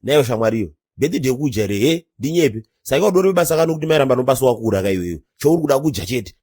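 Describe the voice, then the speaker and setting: Male actor as grumbling cartoon uncle, husky, animated swooping pitch, bossy, argumentative. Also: racing, no echo. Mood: bossy, suspicious. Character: bossy, grumbling uncle guarding the party gate. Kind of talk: comedy cartoon voice acting.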